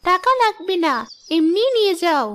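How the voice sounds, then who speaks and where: Very high-pitched, kind village girl by an actress. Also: normal speed, a bit bright, a little hiss or hum. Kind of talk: children's cartoon voice acting.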